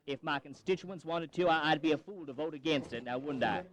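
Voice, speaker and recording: Conceding, earnest colonial delegate played by a male actor, lightly pitched, formal, for historical audio drama. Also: brisk, a bit bright, natural voice. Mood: resigned, resolute.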